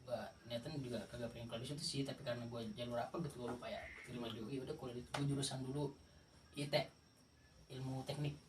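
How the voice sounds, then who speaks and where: A man's voice: medium pitch, steady tone, fast. Laughing man with a Jakarta accent. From Indonesia. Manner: laughs often, sums up the guest's answers. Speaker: podcast host. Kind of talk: casual chat. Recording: echoey room, distant mic, background noise.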